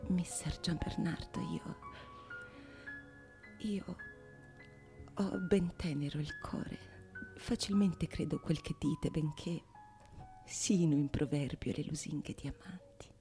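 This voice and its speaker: A woman playing a tender, hesitant wife being wooed, speaking haltingly and softly in a theatrical monologue. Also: sits low, faint music behind. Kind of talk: theatrical monologue of Renaissance comedy.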